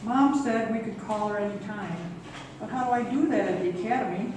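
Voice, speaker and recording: A woman's voice: medium pitch, brisk, close to an everyday voice. A woman playing an earnest young daughter in an amateur radio play, reading her lines plainly. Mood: pensive. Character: earnest young daughter eager to join the Navy. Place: echoey room at a senior center. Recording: roomy echo, distant mic, clear noise.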